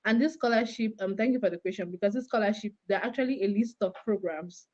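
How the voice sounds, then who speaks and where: A young woman from Cameroon, a graduate student, explaining things clearly and steadily as an interview guest over a call. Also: medium pitch, fast talker, a little mellow, dull audio.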